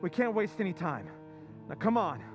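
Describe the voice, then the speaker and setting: A man playing a desperate husband in an old-time radio drama recreation, talking in an urgent, hurried way. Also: high voice, very animated, soft background music. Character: desperate middle-aged husband Walter.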